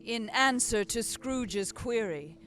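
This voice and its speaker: A woman's voice: on the high side, lively intonation, slow pace, a bit bright, soft background music. A woman giving scripted storytelling narration in a radio play.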